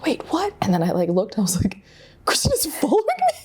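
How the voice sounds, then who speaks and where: A young adult woman interviewing casually as a co-creator, effusive and animated in the way she tells things. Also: fairly high pitch, brisk, breathy edge.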